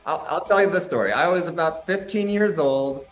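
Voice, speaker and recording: A man's voice: a little high, moderate pace, soft background music. A man who plays in a band, talking in an easygoing, friendly way as an interview guest. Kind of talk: casual interview chat.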